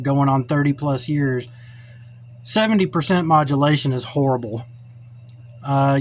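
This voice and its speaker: A middle-aged man giving an informal, unscripted how-to demonstration in a blunt, opinionated, plainspoken way. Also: fairly high pitch. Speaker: radio hobbyist giving a tutorial. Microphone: cell phone held or set up while filming. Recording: noisy cell phone recording.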